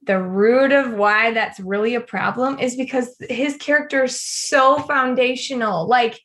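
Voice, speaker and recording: Higher-pitched woman, casual podcast co-host. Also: unhurried, fairly bright tone. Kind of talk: casual faith discussion.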